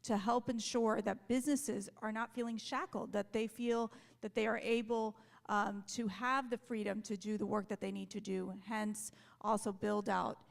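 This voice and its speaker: Gravelly-voiced woman making policy points. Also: medium pitch, level tone, moderate pace, hardly any pauses. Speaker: panelist, policy advocate. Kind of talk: panel discussion.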